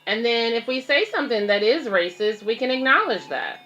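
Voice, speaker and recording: A woman's voice: on the high side, expressive, a little fast, a bit bright, dull audio. A woman reading a picture book aloud as a babysitter, explaining it simply to children and parents with casual asides. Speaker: babysitter and children's story reader.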